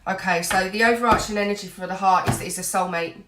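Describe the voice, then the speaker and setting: A woman's voice: medium pitch, steady tone, quick pace, reverberant, noisy background. A woman giving an earnest, reassuring tarot reading while full of cold, dotting her talk with "you know". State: has a cold, a bit snotty. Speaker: tarot reader.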